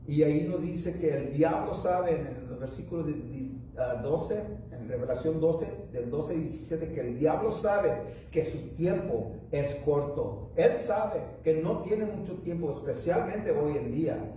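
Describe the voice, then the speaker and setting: A man's voice: on the high side, mellow, very echoey, recorded from a distance, slight background noise, rough recording. A man preaching a sermon, a native English speaker who halts and restarts often as he speaks. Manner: rambling, halting with many restarts and fillers. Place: church.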